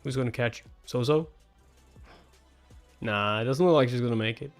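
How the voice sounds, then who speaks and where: A man with a mid-pitched voice reads a story aloud as a live reaction host, with music behind him. He is expressive, moving between narration and casual, incredulous comments. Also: moderate pace. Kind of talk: live reaction read-along with casual asides. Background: background music.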